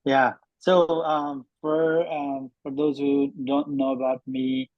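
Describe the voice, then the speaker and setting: A man in his thirties from Nepal, introducing himself on a video call with headphones, pausing often with ums and restarting his sentences. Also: mid-range voice, level tone, dull audio. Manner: hesitant, many ums and restarts. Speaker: networking meeting participant.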